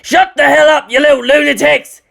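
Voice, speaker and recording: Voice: high voice, steady tone, normal speed, creaky, a bit bright, voice pushed up, exaggerated voice. A narrator voicing a harried young man who barks exasperated commands at unruly children in an audiobook. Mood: exasperated shouting.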